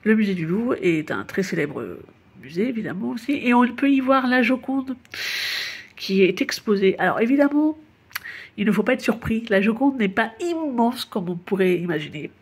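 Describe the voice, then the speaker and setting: A woman's voice: fairly high pitch, animated, moderate pace, a little mellow, slightly rough. A woman from Paris giving a casual spoken tour, chatty and informal, laughing at her own slips. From Paris, France. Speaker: narrator of a guided city tour. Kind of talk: casual spoken tour narration addressed to the listener.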